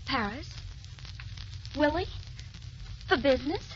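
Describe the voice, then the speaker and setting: A woman's voice: sits high, lively intonation, takes it slowly. An actress playing a lovestruck, hot-tempered young woman engaged to be married, in old-time radio drama style. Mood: wounded, controlled. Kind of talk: 1930s-40s radio acting. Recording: muffled, noisy old radio recording.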